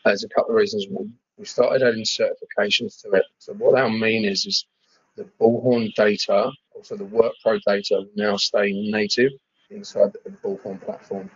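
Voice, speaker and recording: A man giving an informal software walkthrough as a webinar presenter, hesitating and repeating words as he explains. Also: lowish voice, fairly even intonation, normal speed, husky, dry recording, highs cut off. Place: screen-share webinar voice-over.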